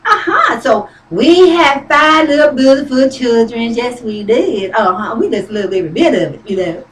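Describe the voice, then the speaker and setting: A woman's voice: on the high side, animated, moderate pace, fairly bright tone, roomy sound, distant mic, rough recording. A woman playing a chatty Southern country farm wife with a folksy drawl, rambling with fillers in a comic monologue.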